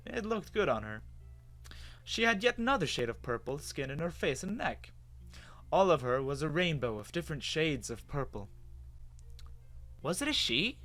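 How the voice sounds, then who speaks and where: A man giving an expressive, dramatic first-person reading of a story as its narrator. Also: on the high side. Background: music.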